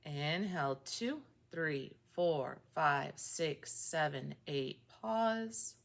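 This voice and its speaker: A woman guiding a breathing meditation, calmly counting breaths for a group. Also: sits low, vocal fry. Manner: slow, calm counting of breaths. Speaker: meditation guide. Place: meeting room of a recovery group.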